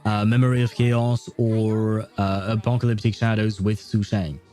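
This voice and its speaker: Mellow-voiced man chatting on a livestream. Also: on the low side, flat delivery, moderate pace, faint music behind. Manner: rambling, thinking aloud, chuckles at own jokes. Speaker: livestream host. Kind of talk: casual gaming livestream chat.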